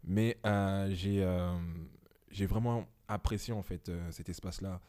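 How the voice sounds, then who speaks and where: A man in his twenties, a podcast guest talking casually and reflectively at home, pausing often with fillers. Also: deep voice, fairly even intonation, mellow. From Belgium. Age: 20s. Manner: reflective, many hesitations.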